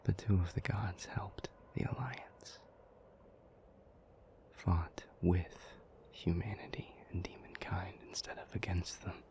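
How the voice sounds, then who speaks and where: A man playing an ancient, somber, thoughtful demon in an intimate audio roleplay, telling old myths in a breathy, hushed, halting voice with long pauses. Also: low-pitched, level tone. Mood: solemn storytelling. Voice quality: breathy, whispery.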